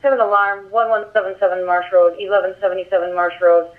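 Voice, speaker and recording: A woman's voice: medium pitch, flat delivery, fast, narrow, tinny audio. A woman dispatcher speaking in clipped, procedural phrases over a police radio, heard through a scanner speaker.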